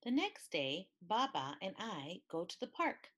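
A woman reading a picture book aloud in an expressive, deliberate way. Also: medium pitch. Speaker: storybook reader.

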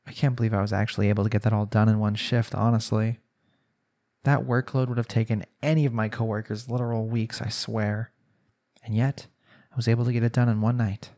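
A man's voice: fairly low pitch, creaky, darkish tone. A man voicing a young newlywed husband in an arranged marriage, speaking conversationally in an intimate audio roleplay. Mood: tired, self-satisfied.

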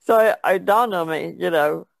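Female artist guest, heavy gravelly fry, relaxed conversational delivery, dry close sound. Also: on the low side, normal speed, dry recording. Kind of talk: casual chat.

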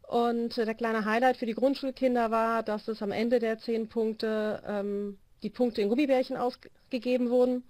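A woman's voice: a little high, flat delivery, moderate pace, few pauses, a little mellow, no room sound. A woman in her forties, a volleyball coach, explaining her training system in a video call interview with a phone held to her ear. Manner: explanatory, many hesitation fillers. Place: small room indoors.

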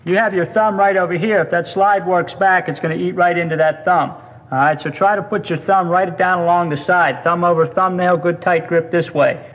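A woman coaches a student as a firearms instructor, giving step-by-step instruction. Her voice is slightly low for a man's range but plainly female, with long reverb and a dull, lo-fi sound. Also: steady tone, normal speed. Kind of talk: hands-on instruction. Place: indoor firing range.

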